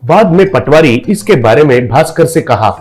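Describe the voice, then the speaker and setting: Male narrator, storybook narration, slightly high voice, faint background music. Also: normal speed. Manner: steady storytelling.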